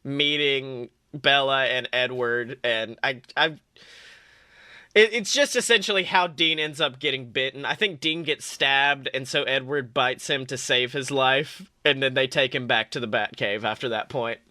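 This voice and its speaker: A man's voice: mid-range voice, unhurried, pushed and bright, dry recording, studio quality. A man hosting a podcast, chatting casually in a joking, offhand way and swearing now and then. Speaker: podcast host. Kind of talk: casual comedic chat.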